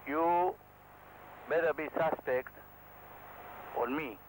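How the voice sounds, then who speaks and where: An Israeli man, a helicopter instructor, speaking to camera in halting non-native English with a modest, self-deprecating manner. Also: on the high side, slight background noise, poor audio. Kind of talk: candid talk to camera.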